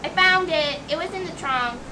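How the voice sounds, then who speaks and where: A woman playing an eager, innocent little girl in an amateur radio play, with a childlike manner. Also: normal speed. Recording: distant mic, noisy rumbling home recording.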